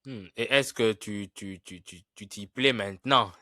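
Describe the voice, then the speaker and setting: Low-voiced co-host, dry. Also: male voice, measured pace, pushed and bright, no echo. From Democratic Republic of the Congo. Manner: asks questions, stammers on restarts. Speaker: podcast co-host.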